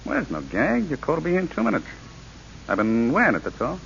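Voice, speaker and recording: Fast-talking, breezy radio gangster. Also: male voice, fairly high pitch, huge pitch swings, gravelly, fairly bright tone, exaggerated voice. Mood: cool, casual. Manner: slangy, nonchalant tough-guy talk. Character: breezy, wisecracking male gangster, loyal but unrepentant. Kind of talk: 1940s radio drama acting. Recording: hissy, dull old radio broadcast.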